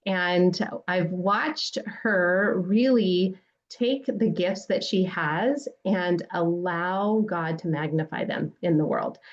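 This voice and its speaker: A woman hosting a podcast interview, speaking with effusive praise for her guest. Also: on the low side. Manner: warm, effusive praise, faith-centered. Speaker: podcast host. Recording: dull lo-fi sound.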